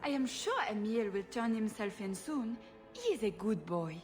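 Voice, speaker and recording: A woman's voice: on the high side, very animated, normal speed, soft background music. A woman playing a fussy, gushing noblewoman and doting mother with a French accent in a video game. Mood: breezy. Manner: gushing, fluttery. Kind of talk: video game cutscene acting.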